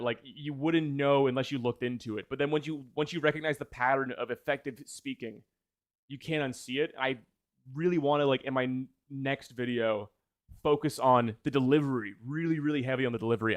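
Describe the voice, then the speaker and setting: A man's voice: mid-range voice, dry recording, studio quality. A man who makes video essays chatting casually on a livestream in an enthused, rambling way, often correcting himself. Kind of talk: casual livestream chat with viewers.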